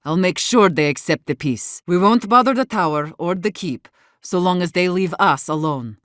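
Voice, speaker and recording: A woman's voice: on the low side, animated, normal speed, a bit bright, no echo, clean studio sound. An actress voicing an embittered, defiant woman warden leader in a video game, weary but fierce, speaking in a blunt, earnest way. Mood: grim, resigned. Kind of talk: dramatic game dialogue.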